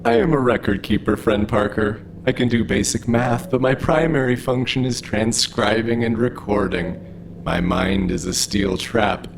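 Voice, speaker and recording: A man's voice: on the low side, a little slow. A man playing an overly friendly, literal-minded robot in an audio drama, speaking in a stilted, formal, earnest way. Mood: matter-of-fact. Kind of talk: scripted audio drama acting. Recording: noisy, with clear background ambience.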